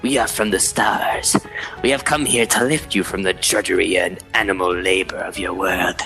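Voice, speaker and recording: A man's voice: sits low, steady tone, normal speed, pushed and bright, faint music behind. A man voicing a scheming, commanding alien robot villain with an imperious, formal delivery in a dramatic comic dub. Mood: grandiose, cold.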